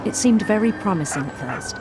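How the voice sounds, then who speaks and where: A middle-aged woman playing a measured, concerned psychiatrist, narrating a letter deliberately in a game. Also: mid-range voice, lively intonation, lightly acted. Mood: measured, reflective. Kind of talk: scripted game narration, letter read aloud. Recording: loud music over the voice.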